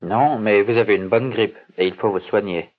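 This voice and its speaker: A man playing a calm doctor examining a patient in a scripted language-course dialogue, speaking in a measured, clear way, each line said twice. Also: lowish voice, natural voice. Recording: tinny, narrow-band old course recording, slightly distant.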